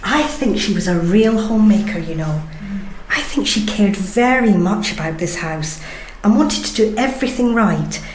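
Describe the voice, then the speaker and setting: A woman's voice: mid-range voice, animated, moderate pace, a little hiss or hum, lightly acted. A woman playing a solemn, musing spiritualist medium in a radio drama. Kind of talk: naturalistic radio drama acting. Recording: roomy, echoing, music underneath.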